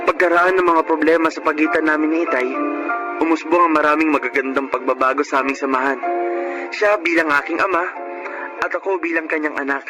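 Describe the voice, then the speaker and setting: A man's voice: fairly high pitch, steady tone, brisk, natural voice. Man acting earnest young Filipino son, music underneath. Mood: reflective. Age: young adult. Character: earnest young son, newly graduated police officer, devoted. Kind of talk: Filipino radio drama acting. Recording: radio drama with music bed.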